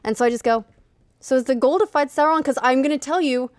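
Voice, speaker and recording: Casting: American woman, thirties, casual gaming podcast co-host, mid-pitched, dry studio sound. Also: fast talker, no echo. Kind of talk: casual panel chat. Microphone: desk studio microphone.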